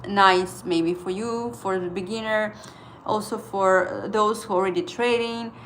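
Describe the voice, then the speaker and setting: A woman in her thirties, a native Estonian speaker with an Estonian accent, hosting a casual trading livestream and chatting with viewers in halting English full of fillers. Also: medium pitch, steady tone, a bit bright, slight background noise. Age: 30s.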